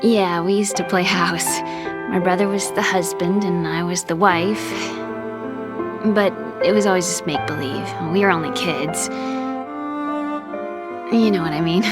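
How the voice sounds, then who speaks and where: A woman's voice: lowish voice, fairly even intonation, unhurried, pauses often. A woman playing an earnest, emotional young woman, a vulnerable younger sister, in a dramatic video game cutscene. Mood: wistful. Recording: loud music under the voice.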